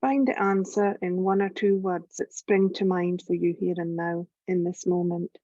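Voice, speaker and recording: A woman leading a guided yoga nidra meditation in a gentle, calm, measured voice with long pauses. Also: on the low side, no echo, muffled recording. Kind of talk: slow guided relaxation meditation narration.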